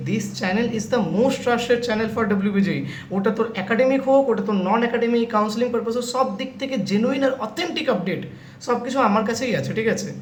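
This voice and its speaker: Fast-talking, insistent young host in an echoey room. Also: medium pitch. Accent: Bengali. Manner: insistent, repeatedly checking with "okay?". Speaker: YouTube host giving exam counselling updates. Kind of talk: casual direct address to students. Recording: echoey room with clear background noise.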